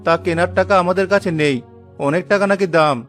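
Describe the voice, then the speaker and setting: A man plays a struggling young-hearted fisherman in a cartoon drama. His voice sits high for a man and is full of earnest emotion and determination. Faint music plays behind him. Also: fast, a bit bright. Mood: weary, dejected.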